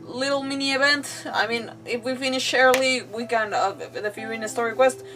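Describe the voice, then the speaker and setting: Bubbly Mexican woman, native Spanish speaker, high voice, casual game-stream chatter, slightly distant mic. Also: normal speed. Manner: bubbly, rambling, giggly. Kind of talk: casual livestream chat while playing. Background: game music.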